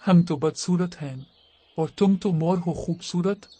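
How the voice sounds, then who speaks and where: A man's voice: high voice, a little slow, warm tone. A man voicing blunt, scolding birds in a cartoon fable, with an emphatic, admonishing manner. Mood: mocking, rejecting. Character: blunt, scolding male birds, crows and peacocks. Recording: clearly noisy cartoon audio track.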